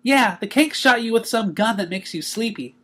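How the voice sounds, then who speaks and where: A man's voice: high voice, animated, normal speed, a bit bright, voice pushed up. A man voicing a bubbly, childlike young female cartoon pony, cheerful, bouncy and chatty, in a storybook reading.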